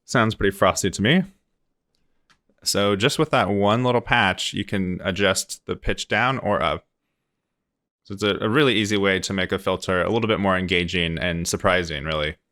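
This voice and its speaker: A man presenting a casual software tutorial in an informal, easygoing way, explaining things step by step. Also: deep voice, moderate pace, no room sound, clean studio sound.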